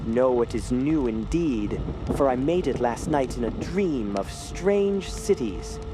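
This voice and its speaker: Dream-haunted young male artist, earnest and unhurried, florid, for audio horror drama with a loud music bed. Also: medium pitch, animated, poor audio. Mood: dreamy, earnest. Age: young adult.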